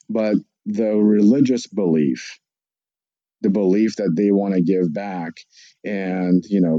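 Deep dark-voiced man. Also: dry recording, muffled recording. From Russia. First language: Russian. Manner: measured, explanatory, lists points. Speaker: psychologist. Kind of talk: podcast interview answer.

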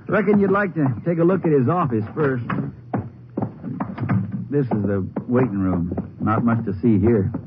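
An actor playing an earnest, folksy small-town Texas sheriff with colloquial phrasing in a 1950s radio drama. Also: male voice, medium pitch, measured pace, pauses often, loud music behind the voice, close to an everyday voice. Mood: somber, folksy. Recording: muffled, noisy old radio recording.

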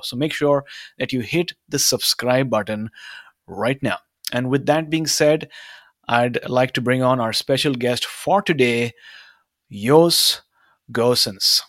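A man's voice: medium pitch, dry recording, clean studio sound. A man hosting a podcast interview, upbeat and chatty with many fillers. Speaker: podcast host.

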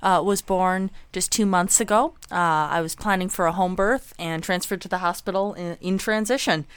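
A woman in her thirties introducing herself as a panelist, hesitant with many pauses. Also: fairly low pitch, smooth, no room sound. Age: 30s. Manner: hesitant, lots of uh. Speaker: podcast panelist.

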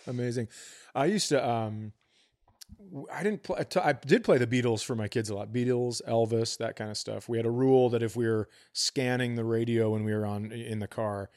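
A man's voice: medium pitch, brisk, darkish tone. Casting: middle-aged male podcast comic, mid-range voice, casual storyteller who swears freely. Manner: profane, storytelling. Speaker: podcast co-host and comedian. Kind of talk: casual comedic chat.

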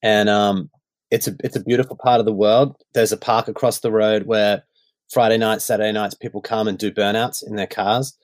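Dry-sounding Australian man, relaxed storyteller. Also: lowish voice, normal speed, no room sound. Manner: loose storytelling with many fillers. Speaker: worship songwriter guest. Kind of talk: casual podcast chat.

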